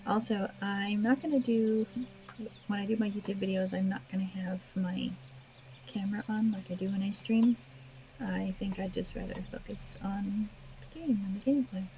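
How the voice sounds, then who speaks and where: Casting: female gaming host, mid-pitched, informal and chatty playthrough commentary, faint noise and game ambience behind. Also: steady tone, normal speed, dark tone, poor audio. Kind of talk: casual let's play commentary.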